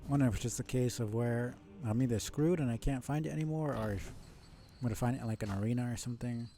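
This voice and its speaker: A man's voice: mid-range voice, quick pace, mellow, soft background music. A man doing casual live gameplay commentary, thinking aloud and swearing now and then. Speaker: live streamer playing a video game. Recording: faint background noise.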